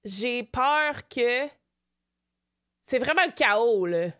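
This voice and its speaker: A woman's voice: on the high side, very slow. A young woman from Canada with a Québécois accent, chatting casually on a live stream while she reads her chat aloud and mixes in English. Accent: Québécois French. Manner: animated, switches to excited squeals, mixes in English.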